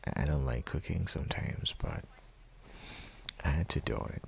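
A man playing an affectionate, playfully teasing young dad and husband in an intimate ASMR audio roleplay, speaking softly and often dropping into whispers. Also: very animated, a little hiss or hum, exaggerated voice. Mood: tender. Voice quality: very deep, husky, gravelly, breathy. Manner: soft, unhurried, often slipping into whispers.

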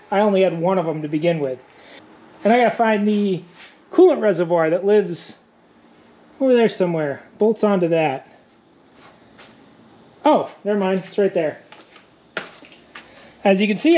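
A man's voice: high voice, darkish tone. A man in his thirties working on a truck in a garage workshop, wry and self-mocking, his voice worn from days of talking. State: losing his voice. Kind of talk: casual workshop vlog. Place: cluttered wooden garage workshop.

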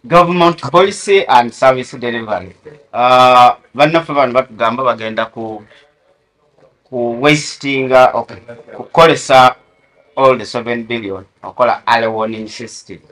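A man's voice: mid-range voice, moderate pace, fairly bright tone, poor audio. A man with a Ugandan accent hosting a talk show and summarizing the discussion. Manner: brief affirming hums, summarizing segments.

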